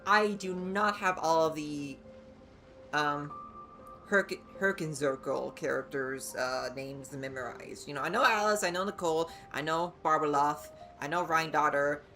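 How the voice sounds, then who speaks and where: A woman giving casual live game commentary as a streamer, thinking aloud in a halting way. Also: deep voice, pushed and bright, faint music behind. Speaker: livestreamer playing a game.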